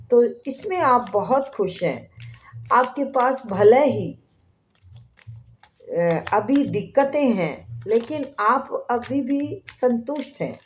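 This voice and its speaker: A woman gives a spiritual tarot reading with a slightly sore throat. She talks in a slow, unhurried way at a middle pitch and often drops to a whisper when she prays. A faint noise runs under her voice. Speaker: tarot reader.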